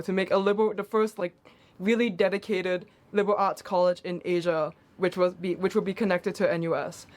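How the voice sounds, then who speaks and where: A woman in her twenties from Singapore hosting her own channel, lively and giggly with quick bursts of speech. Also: medium pitch. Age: 20s. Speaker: YouTuber, host. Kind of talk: casual vlog interview.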